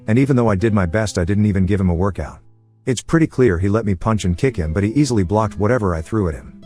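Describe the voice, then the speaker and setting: A man narrating a forum post in the first person as a scripted story, with a brisk, steady reading manner. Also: on the low side.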